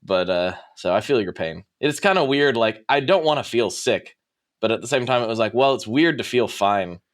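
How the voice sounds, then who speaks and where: A man's voice: mid-range voice, animated, normal speed. A man hosting a guitar podcast, chatting casually and laughing easily. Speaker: podcast host. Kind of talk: casual chat.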